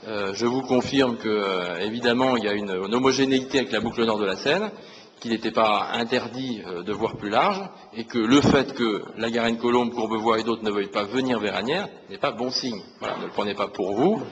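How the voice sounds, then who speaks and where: Male councillor, low voice, halting impromptu debate remarks, conciliatory, reverberant room. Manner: hesitant, repeats words, conciliatory. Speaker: opposition city councillor. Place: large council meeting room. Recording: reverberant hall with faint noise.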